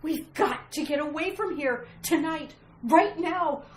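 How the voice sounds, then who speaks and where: A woman's voice: sits high, a little slow. A woman playing a frightened, pleading wife in a melodramatic retro radio mystery. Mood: desperate pleading. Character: terrified wife fleeing a witch's ghost. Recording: roomy sound with faint noise.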